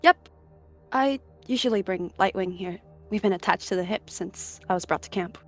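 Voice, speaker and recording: A woman's voice: medium pitch, normal speed, natural voice. A woman voicing a friendly, chatty young female clan cat in a roleplay, earnest and a little hesitant. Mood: reflective. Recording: music behind the voice.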